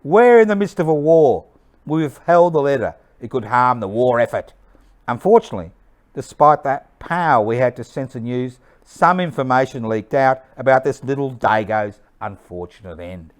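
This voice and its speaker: A man's voice: mid-range voice, expressive, moderate pace, natural voice. A man playing a callous wartime official in a stage play, speaking with cold contempt.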